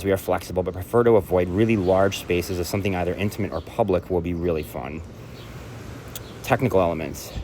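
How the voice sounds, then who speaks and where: A man with a very low, deep voice reads a festival application aloud. He is a composer and performance artist, and his delivery is earnest and measured. Now and then he stops to correct himself. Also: darkish tone, slight background noise.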